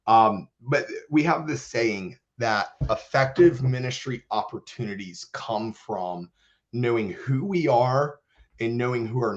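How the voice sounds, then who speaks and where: Hoarse low-voiced man presenting a webinar. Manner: earnest, encouraging presenter. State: hoarse, losing voice from illness. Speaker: church outreach manager. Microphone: video call. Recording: dull lo-fi webinar audio.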